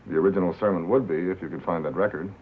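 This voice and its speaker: An actor playing a wry, worldly, cynical radio reporter with a terse, dry delivery in a 1950s film drama. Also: male voice, low-pitched, racing, natural voice. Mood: guarded. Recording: narrow-band, hissy 1950s film soundtrack.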